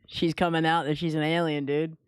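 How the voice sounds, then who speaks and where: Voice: medium pitch, flat delivery, brisk, clear, resonant tone, no echo. A speaker chatting casually in slangy language, swearing and often checking for agreement. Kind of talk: casual chat.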